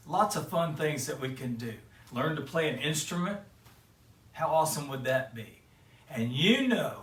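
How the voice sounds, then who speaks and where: A man's voice: on the high side, a bit bright, recorded from a distance. A man hosting a children's show, talking cheerfully straight to kids and then reading a picture book aloud in a sing-song way. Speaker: children's storyteller and host. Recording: echoey room, away from the mic.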